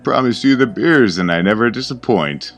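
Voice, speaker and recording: Falsely friendly sinister host, voiced by the narrator; loud, smirking charm. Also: male voice, mid-range voice, expressive, normal speed, soft background music, voice pushed up. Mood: falsely cheerful. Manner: smirking, overly cheerful and loud.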